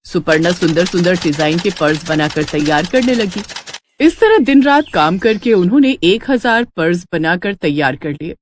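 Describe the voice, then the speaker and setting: A woman's voice: on the low side, animated, normal speed, slight background noise. A woman narrating a scripted moral bedtime story in a dramatic storytelling style.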